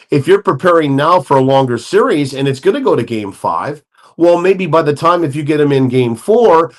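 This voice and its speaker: Man breaking down hockey at length. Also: medium pitch. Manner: expansive, thinks aloud through scenarios. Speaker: hockey analyst guest. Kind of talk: radio sports talk analysis.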